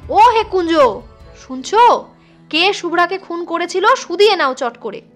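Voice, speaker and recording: A woman's voice: very high-pitched, expressive, unhurried, soft background music, voice pushed up. A woman voicing a bossy, impatient old village man in a storybook reading, insistent and urging. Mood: urgent.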